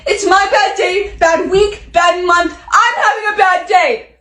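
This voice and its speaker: A woman's voice: extremely high pitch, fairly even intonation, unhurried, pushed and bright, full character voice. An amateur actress playing an exasperated, self-pitying young woman in a petulant, ranting stage monologue. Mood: exasperated, bitter. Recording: echoey room.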